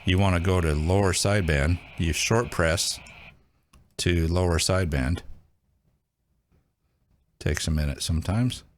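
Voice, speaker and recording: A man with a low-pitched voice presents a casual, hands-on tutorial. He talks informally, thinking aloud as he demonstrates, like a host showing viewers around a device. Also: a little slow, pauses often. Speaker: host of a radio how-to channel.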